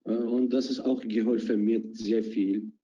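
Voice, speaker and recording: A man speaks slowly and haltingly in a low, very dark voice. He hesitates often, padding his answers with fillers. The sound is dull and lo-fi, like an online call. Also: level tone, slightly rough. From Iraq. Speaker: project participant. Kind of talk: interview answers in learner German. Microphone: video call.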